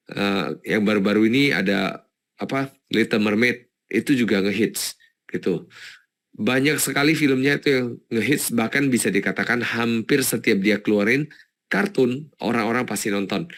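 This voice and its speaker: A man's voice: fairly low pitch, a bit bright. A man presenting a stock analysis webinar in an informal, explanatory way, thinking aloud and repeating words as he goes. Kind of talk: informal live webinar commentary.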